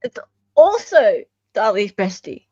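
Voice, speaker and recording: Australian woman, mid pitch, slow pace, casual emphatic commentary, repeats phrases, lo-fi muffled audio. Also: big pitch swings, darkish tone, no echo. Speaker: online show host. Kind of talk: casual commentary on court documents and recordings.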